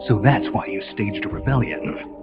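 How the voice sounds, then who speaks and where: An actor playing a gruff, defiant captive soldier hero in a dramatic video game scene. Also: male voice, lowish voice, normal speed, lightly acted. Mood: disbelieving. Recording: muffled compressed game audio under loud music.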